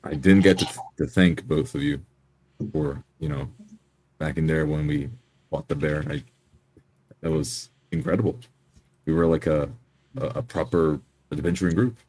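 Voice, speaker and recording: Man playing an awkward, very deep-voiced adventurer. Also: warm tone. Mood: sincere, admiring. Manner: halting, earnest, polite. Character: sincere, awkward male adventurer, polite.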